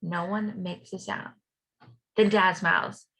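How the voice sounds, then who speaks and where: Woman reading as a bright-voiced, dramatic young schoolboy. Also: on the low side, takes it slowly, vocal fry, exaggerated voice. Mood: warm storytelling. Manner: expressive, rhythmic, dramatic. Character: young schoolboy narrator, playful, dramatic, stuck in glue. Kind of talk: children's storybook read-aloud.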